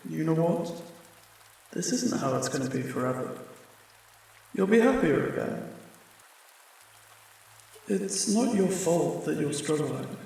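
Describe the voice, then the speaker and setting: A man playing a friendly, apologetic sleep paralysis demon in an ASMR comfort roleplay, speaking gently and haltingly, with reassurance. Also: a little high. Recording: very echoey, distant, rain sounds behind. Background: rain.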